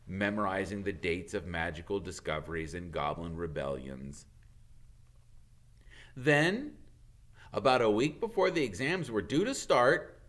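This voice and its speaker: A man, a teacher, reading a storybook aloud with expressive narration. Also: fairly low pitch.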